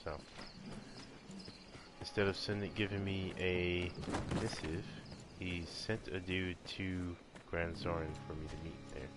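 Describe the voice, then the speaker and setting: A man's voice: sits low, little pitch movement, a little slow, very noisy. A man giving casual, rambling gameplay commentary, explaining things and thinking aloud as he plays. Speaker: gaming video host playing through a game. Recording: game music under the voice. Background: fantasy game music and sounds.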